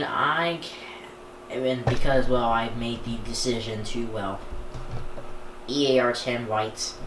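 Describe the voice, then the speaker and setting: A person talking casually and off the cuff for a vlog, rambling and often restarting sentences. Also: medium pitch. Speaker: video creator showing a radio collection. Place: indoor room. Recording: echoey room with background noise.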